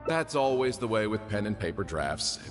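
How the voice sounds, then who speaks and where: An actor playing a helpful, chatty aspiring stage director in a video game, eager to explain. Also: male voice, fairly low pitch, sing-song, normal speed, music in the background.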